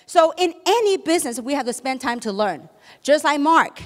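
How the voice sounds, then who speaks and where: A woman's voice: high-pitched, animated, moderate pace. A woman with a Chinese accent gives a motivational business talk to an audience, often asking questions and then answering them. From China. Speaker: business speaker. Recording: echoey hall.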